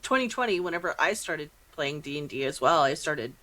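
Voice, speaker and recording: Female podcast host, slightly low register. Informal, effusive, self-deprecating interview chat, recorded at home on her own mic. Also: lively intonation, fairly quick, bright, forceful tone, low-quality recording. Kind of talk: casual chatty podcast interview. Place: small room at home. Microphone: own podcast microphone.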